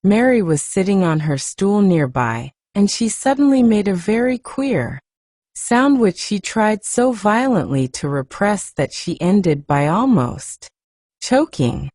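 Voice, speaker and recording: A woman reads a story softly and gently, like an audiobook narrator. She takes her time, with a lowish, mellow voice in a very dry, close recording. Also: lively intonation, measured pace, no echo.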